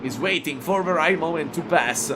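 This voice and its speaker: A man from Italy with an Italian accent, calling a live sim race in halting English with many fillers and excited jumps. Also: fairly high pitch, over music. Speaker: race commentator. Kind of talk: live sim racing commentary.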